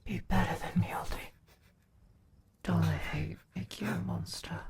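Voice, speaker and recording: A woman playing a monstrous huntress near death in a gothic audio drama, husky and breathy, speaking in a slow, halting, weary way. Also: lowish voice, steady tone, noisy background. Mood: weak, tender. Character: dying monstrous hunter woman, bitter yet tender kin.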